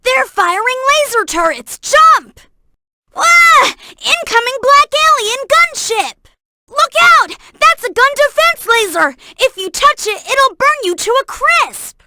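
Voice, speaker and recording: A woman voicing a young boy fox sidekick in a video game, earnest, helpful and excitable, with clear childlike delivery. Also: extremely high pitch, takes it slowly, noisy background, full character voice. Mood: alarmed, urgent. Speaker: video game character giving hints. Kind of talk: game voice acting, tutorial hints and alerts.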